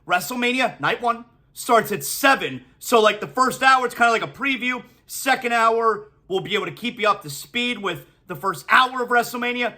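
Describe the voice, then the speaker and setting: A man in his forties hosting a solo sports podcast, talking in an energetic, excitable way and reading sponsor spots between riffs on the games. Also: extremely high pitch. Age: 40s. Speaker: sports talk host.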